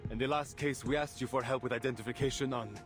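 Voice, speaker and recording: An actor playing a weary, exasperated police officer in a game, formal and dryly sardonic. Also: male voice, medium pitch, steady tone, quick pace, natural voice. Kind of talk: dramatic game voice acting. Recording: music behind the voice. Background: music.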